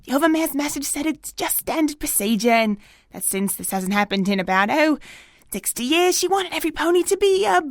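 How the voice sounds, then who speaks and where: Actress as high, lively, caring maintenance pony. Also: female voice, fairly quick, a little hiss or hum. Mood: explaining, hesitant. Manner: coaxing, earnest, informal. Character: female pony maintenance worker, caring, firm, foul-mouthed friend. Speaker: voice actor in audio drama. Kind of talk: audio drama acting.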